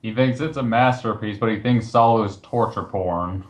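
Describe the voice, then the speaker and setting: A man's voice: on the low side, normal speed. A man taking part in a casual group chat over a voice call.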